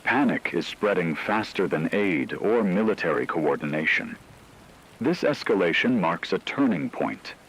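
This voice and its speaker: A man playing a grave radio newsreader, reading a scripted breaking war bulletin in a solemn, measured, ominous way. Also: sits low, animated, a bit bright, husky, heavily acted, poor audio. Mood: grave, urgent.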